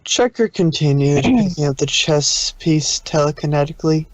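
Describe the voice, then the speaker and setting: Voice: mid-range voice, lively intonation, moderate pace, dry recording. A narrator reading a scripted fan fiction story aloud, stumbling now and then. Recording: dull, muffled dry audio.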